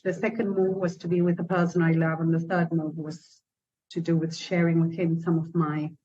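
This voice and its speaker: A woman's voice: fairly low pitch, darkish tone. A woman from Argentina, answering at length in a reflective, articulate way. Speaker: interviewee. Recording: dull lo-fi recording.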